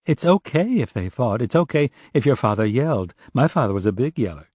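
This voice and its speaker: A man's voice: medium pitch, sing-song, a little fast, darkish tone, no echo, clean studio sound, pitched above the performer's own voice. A man voicing a wry, insistent male police detective questioning someone in an audiobook. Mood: pressing. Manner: wry, pressing. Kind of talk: audiobook character dialogue.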